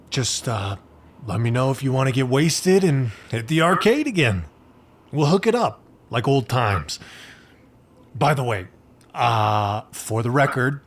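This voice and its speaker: A man's voice: mid-range voice, slight background noise. An actor playing a laid-back, goofy male party buddy in a game, awkwardly casual, with a drawling, hesitant way of talking.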